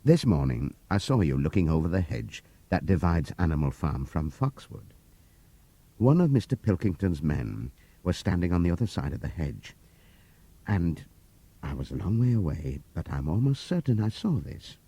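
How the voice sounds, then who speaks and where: Man as a low, grave motherly mare. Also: big pitch swings, normal speed, mellow, breathy edge, dry recording, full character voice. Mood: grave, stern. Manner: grave, questioning. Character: earnest, stern, motherly older mare.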